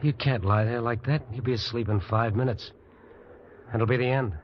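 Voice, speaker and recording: A man's voice: mid-range voice, fairly even intonation, normal speed, lightly acted. An actor in an old-time radio drama playing a worn-out fugitive prisoner, plainspoken, wry and resigned to his fate. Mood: weary, numb. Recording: dull, noisy old radio recording.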